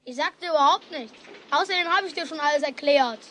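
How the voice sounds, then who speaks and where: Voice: sits high, a little fast, fairly bright tone, slight background noise. A performer playing a stubborn, hurt schoolboy in a children's radio play, insistent and blunt.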